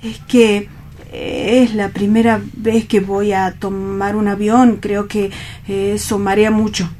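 An actor with an accent from Spain playing a timid, hapless young poet in a radio play reading, halting and meek. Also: male voice, sits high, flat delivery, darkish tone, slight background noise. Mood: nervous, hesitant.

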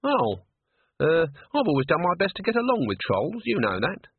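A man voicing a stern, proud old witch woman who is blunt and quietly touchy, in a storybook reading. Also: on the high side, wildly swooping pitch, moderate pace, breathy edge, no room sound, narrow, tinny audio, voice pushed up, full character voice. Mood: wounded. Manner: blunt, appraising.

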